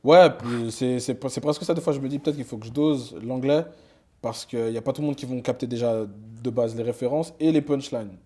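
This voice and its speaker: A man's voice: medium pitch, fairly quick, mellow. A young man, a rapper talking casually in an interview, loose and slangy with lots of fillers and restarts. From Switzerland. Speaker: rapper guest.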